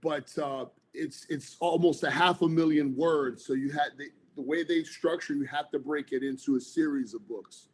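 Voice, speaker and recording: A man's voice: a little high, moderate pace, few pauses, breathy edge. Earnest man. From New Jersey, United States. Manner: earnest, rambling, frequent fillers. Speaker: author guest, former police officer. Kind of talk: casual interview chat.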